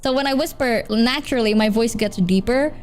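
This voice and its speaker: A woman's voice: a little high, a little fast, faint music behind. A woman, chatting on stream in a rambling, giggly way, leaning on filler words. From Indonesia. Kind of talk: casual chat.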